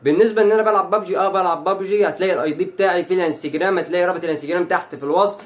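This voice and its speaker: A man's voice: high voice, rapid-fire. A man in his twenties with an Egyptian Arabic accent, a YouTuber answering fan questions to camera in a chatty, brash way. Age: 20s. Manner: chatty and brash, rattles off lines. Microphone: phone.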